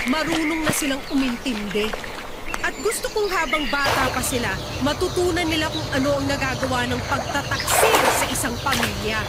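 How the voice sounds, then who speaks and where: High-voiced wounded, unforgiving wife. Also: female voice. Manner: emotional, reproachful delivery. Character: hurt, unforgiving betrayed wife and mother. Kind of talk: Filipino radio drama acting. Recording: loud music under the voice.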